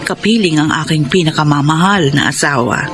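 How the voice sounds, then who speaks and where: A woman playing a tender, grateful aging wife in a Filipino radio drama, speaking gently and sentimentally. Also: sits low, fairly quick, close to an everyday voice. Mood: reflective, content. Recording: loud music under the voice.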